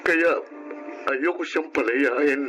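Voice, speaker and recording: A man's voice: fairly high pitch, takes it slowly, a bit bright, low-quality recording. A guilty Filipino uncle in a radio drama. He is a subdued, obsessive villain who sounds remorseful. Music plays behind him.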